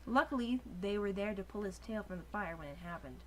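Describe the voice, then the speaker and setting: A woman's voice: medium pitch, lively intonation, fairly quick, low-quality recording. Informal woman reading aloud, faint noise. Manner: informal, self-deprecating. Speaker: podcast co-host and narrator. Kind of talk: amateur dramatic reading of fanfiction, with casual asides.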